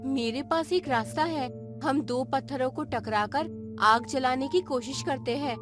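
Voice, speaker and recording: A woman's voice: high-pitched, measured pace, music in the background. A woman playing a bold, determined woman scientist in a dramatized space adventure story. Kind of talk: dramatized Hindi audio story dialogue.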